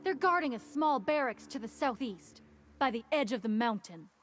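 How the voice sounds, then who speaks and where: Folksy game guard; drawling, higher voice. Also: female voice, expressive, moderate pace. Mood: wry. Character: folksy armed woman guard, wary but easygoing. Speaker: station guard.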